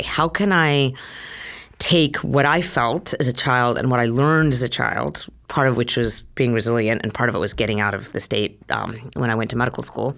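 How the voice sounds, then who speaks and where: Earnest woman physician from Utah. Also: extremely low pitch. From Utah, United States. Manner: earnest, listing points in long chains. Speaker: physician and conference co-chair. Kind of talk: interview answer.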